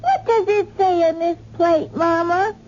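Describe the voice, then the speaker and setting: A woman playing an excitable, curious little girl in a 1970s radio drama. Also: very high-pitched, a little slow, slight background noise. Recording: muffled old radio broadcast.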